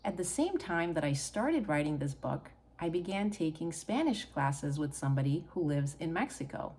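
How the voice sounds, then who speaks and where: Hungarian-accented woman, earnest and low. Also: very animated, normal speed, a little mellow, husky. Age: middle-aged. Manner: earnest, encouraging. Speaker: author and channel host. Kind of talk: personal talk to camera.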